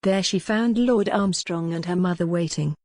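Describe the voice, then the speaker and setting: A woman narrates an audiobook in a fairly low voice, recorded dry and close. Her reading is scripted historical romance, told with expressive, dramatic storytelling. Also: normal speed, no room sound.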